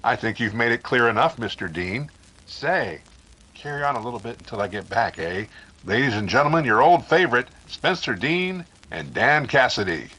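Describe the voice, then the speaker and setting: A courteous 1930s radio announcer, acted by a man in old-time drama style. His middle-pitched voice is bright and forward, with a husky edge. Delivery is measured and formal. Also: very animated, exaggerated voice, rough recording. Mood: courteous, brisk.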